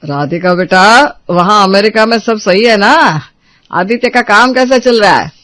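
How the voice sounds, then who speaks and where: A man playing an affectionate, reassuring middle-aged father in a Hindi family drama, speaking gently to his family. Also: sits high, unhurried, dry recording. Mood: concerned.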